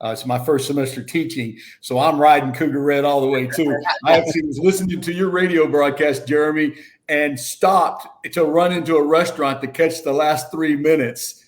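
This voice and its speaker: Higher-voiced man hosting sports talk. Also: fairly even intonation, moderate pace, slight background noise. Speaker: sports show co-host, interviewer. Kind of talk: casual sports talk interview.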